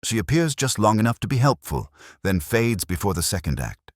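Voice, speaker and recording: A man voicing a calm, courteous, thoughtful police inspector with measured, deliberate phrasing. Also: on the low side, no room sound, clean studio sound, voice pushed up. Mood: calm, musing.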